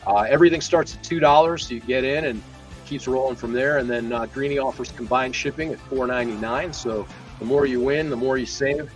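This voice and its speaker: A man's voice: medium pitch, a little fast, soft background music. A man co-hosting a sports live stream in a casual, conversational way.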